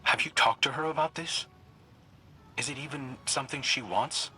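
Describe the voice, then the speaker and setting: An actor playing a regretful, reassuring mentor in a video game, with a husky, plain-spoken, sincere delivery. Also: male voice, medium pitch, normal speed, bright, forceful tone, heavily acted. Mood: questioning. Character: regretful, reassuring male mentor with a troubled past. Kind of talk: video game dialogue acting.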